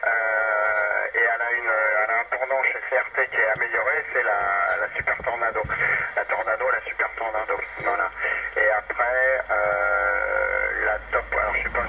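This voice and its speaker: A man's voice: on the low side, creaky. A man chatting casually as a CB radio operator, rambling and thinking aloud over a radio transmission. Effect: CB single-sideband radio transmission. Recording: muffled, very noisy CB radio reception.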